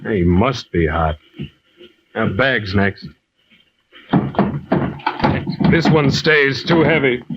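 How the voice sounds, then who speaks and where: An actor playing a tough, wisecracking American cargo pilot in an old-time radio adventure, slangy, clipped and wry. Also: male voice, medium pitch, big pitch swings, slow, fairly bright tone, breathy edge, heavily acted. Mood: brisk, gruff. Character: tough, money-minded freelance cargo pilot, wisecracking war veteran. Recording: dull, lo-fi old radio recording.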